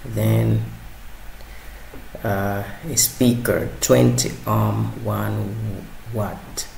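A man narrating a hands-on electronics tutorial, halting and repeating words as he works. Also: lowish voice, fairly even intonation, gravelly, a little mellow. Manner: halting, hesitant, repeats words while working. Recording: roomy sound with faint background noise.

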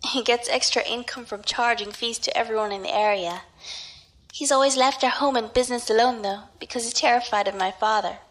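A woman's voice: on the high side, brisk, fairly bright tone, lightly acted. A woman acting as an earnest, worried young daughter in an audio drama. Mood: worried confiding. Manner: earnest, sighing.

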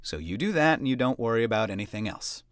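A high, blaring drill sergeant bellows commands in a dry, close sound, as a narrator puts him on. He is harsh and commanding, every order a roar. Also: expressive, fast talker, dry recording, natural voice. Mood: gently amused. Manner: barking, roaring orders.

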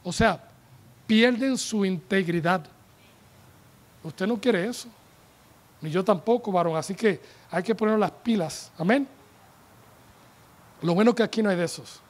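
A man in his forties preaching a sermon from a pulpit, animated and joking as he calls on the congregation, speaking into a handheld microphone. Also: sits high, a little slow, pauses often. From United States. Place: church tent stage with a pulpit.

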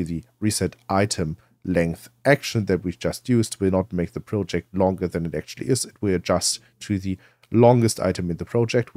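Male tutorial presenter, conversational explainer who poses questions and answers them, slightly low pitch, no room sound. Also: darkish tone, no echo. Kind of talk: software tutorial narration.

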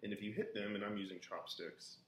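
A man in his thirties, a music teacher giving a relaxed, chatty lesson at home, full of ums and uhs, recorded by a camera on a kitchen table. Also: fairly low pitch, brisk. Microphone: camera on the table in front of him. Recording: echoey kitchen with faint noise. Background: glasses clinking.

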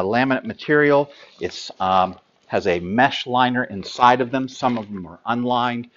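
A middle-aged man talks through sailing gear in a practical, unhurried way, filling pauses with ums and uhs. His voice sits at an ordinary pitch. The studio recording is slightly dull. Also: fairly bright tone. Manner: practical, hands-on, many ums and uhs while handling gear. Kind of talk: product explainer.

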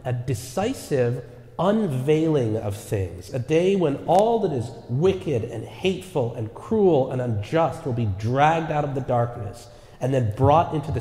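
Man, pastor, earnest sermon, mellow warm tone, long reverb, church echo. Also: mid-range voice. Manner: earnest preaching with repeated rhetorical "right?" asides. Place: church sanctuary.

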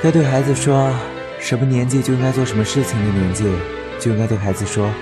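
A man playing a melodramatic, clingy, self-pitying young boyfriend in a comic audio drama, with cheeky, mock-solemn and drawn-out delivery. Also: medium pitch, fairly even intonation, loud music behind the voice, lightly acted.

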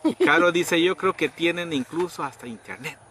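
A middle-aged man casually telling a story outdoors, acting out quoted people with sudden high pitched voices. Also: moderate pace, over a noisy crowd. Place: outdoors under trees in a rural yard. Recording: outdoor noise in background.

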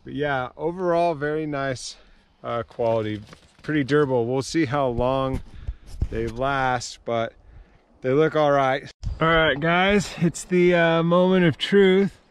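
A man in his thirties casually reviewing gear outdoors beside his van, relaxed and full of fillers. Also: fairly high pitch, measured pace, smooth. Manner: relaxed, filler-heavy, easygoing. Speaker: vlogger.

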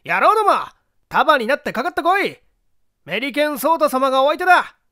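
A reader voicing a brash young tough henchman who shouts and boasts roughly in an audiobook story. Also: high-pitched, big pitch swings, a little fast, pitched above the performer's own voice, heavily acted. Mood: defiant, boastful.

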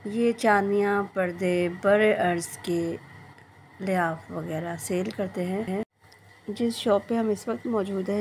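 A woman narrating a shopping vlog, presenting stalls and prices. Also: medium pitch. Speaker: shopping vlogger. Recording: clearly noisy background.

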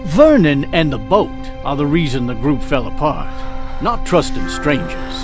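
An actor playing a compassionate, principled survivor in a video game, arguing earnestly. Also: male voice, fairly high pitch, big pitch swings, unhurried, music in the background. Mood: earnest, insistent. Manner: earnest, argumentative. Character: compassionate, principled male survivor pleading for mercy. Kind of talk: dramatic video game acting.

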